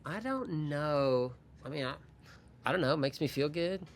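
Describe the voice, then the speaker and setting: A man's voice: fairly high pitch, animated, moderate pace, slight background noise. A man in his forties, a podcast guest talking candidly and thinking aloud in a casual interview. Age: 40s.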